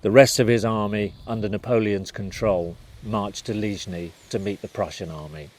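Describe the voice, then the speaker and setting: A man's voice: fairly low pitch, fairly even intonation, moderate pace, a little mellow. A man reading scripted narration for a historical documentary.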